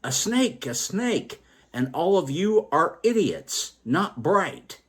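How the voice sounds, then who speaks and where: Storyteller doing a blustering, pompous loud man, widely swooping. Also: sits high, sing-song, slow pace, slightly rough, voice pushed up, heavily acted. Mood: spiteful. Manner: blustering, insistent. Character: six loud, pompous, argumentative men in a satirical verse. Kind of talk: dramatic verse recitation.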